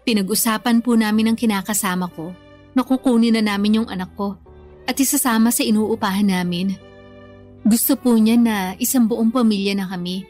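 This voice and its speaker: A woman's voice: a little high, a little mellow, natural voice. An actress playing a respectful, repentant grown daughter and young mother with a Filipino accent in a radio drama, speaking earnestly and politely. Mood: tentative. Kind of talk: Tagalog radio drama acting.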